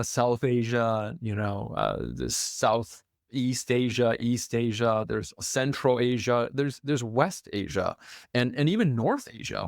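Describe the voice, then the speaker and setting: A man's voice: medium pitch, vocal fry, no echo, clean studio sound. A man born in Taiwan, hosting a solo podcast in a casual, conversational way with many fillers and restarts. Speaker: podcast host and author.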